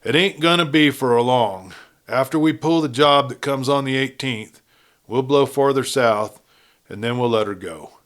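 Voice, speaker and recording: A man's voice: mid-range voice, normal speed, fairly bright tone, natural voice. A man voicing a ruthless, blunt outlaw gang leader with a folksy cowboy drawl in a Western story. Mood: scheming, insistent.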